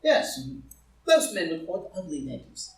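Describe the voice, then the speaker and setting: A man playing a languid, witty gentleman and loyal friend, speaking with urbane formality in a live play reading. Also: high voice, wildly swooping pitch, a little slow, exaggerated voice. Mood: urbane, wry. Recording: roomy echo, far from the mic.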